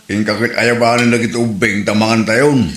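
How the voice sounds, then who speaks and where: A man's voice: lowish voice, level tone, fairly quick, a bit bright, reverberant, natural voice. A man playing an exasperated, bickering husband and father in an Ilocano comedy drama. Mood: indignant.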